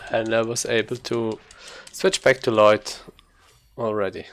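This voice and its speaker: A man's voice: lowish voice, level tone, measured pace. A man giving casual, explanatory gameplay commentary as a speedrunner.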